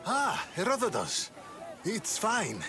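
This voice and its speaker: An actor playing a composed, courteous ancient statesman in a video game, polite and measured. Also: high-pitched, huge pitch swings, crowd noise, noisy background, heavily acted. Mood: gracious.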